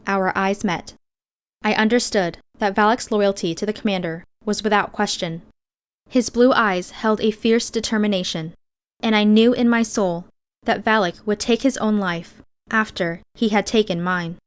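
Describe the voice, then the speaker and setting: A woman with a medium-pitched voice reads first-person fiction as an audiobook narrator. Her storytelling is measured and expressive. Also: smooth.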